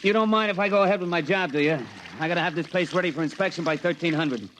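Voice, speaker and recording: A man's voice: on the high side, fast, a bit bright, slight background noise, natural voice. An actor playing a breezy, wisecracking American airman in a 1950s radio drama, casual and slangy. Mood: busy, offhand. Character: breezy, wisecracking American Air Force private and pulp writer.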